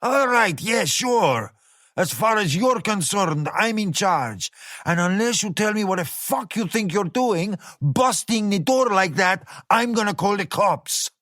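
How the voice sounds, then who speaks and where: A man's voice: sits high, lively intonation, a little slow, a bit bright, no echo, studio quality, pitched above the performer's own voice. A man voicing a fat, frightened male restaurant manager who stammers and swears. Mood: defiant. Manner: stammering, nervous, profane.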